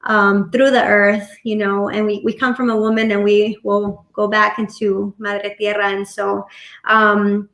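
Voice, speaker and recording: A woman whose first language is Spanish, a musician guest chatting casually on a video call from home, relaxed and full of fillers. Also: mid-range voice, unhurried, echoey room. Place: home room. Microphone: laptop webcam mic on video call.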